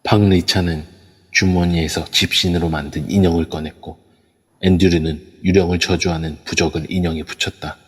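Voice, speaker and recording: A man narrating an amateur audio drama in a steady storytelling manner. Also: low voice, moderate pace, breathy edge. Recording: long echoey reverb.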